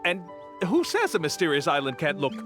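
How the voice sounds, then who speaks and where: Male game swindler: smug theatrical tour guide, highish pitch, lively intonation, faint music behind. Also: brisk. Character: smooth-talking, brazen male con-artist tour guide. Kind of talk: video game voice acting.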